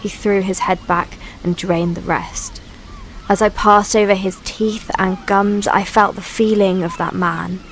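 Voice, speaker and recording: A woman's voice: medium pitch, a little slow, a little hiss or hum, lightly acted, rough recording. A woman voicing the ancient Sea as a grave, brooding, poetic narrator in a scripted radio play. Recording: steady rain and water ambience behind.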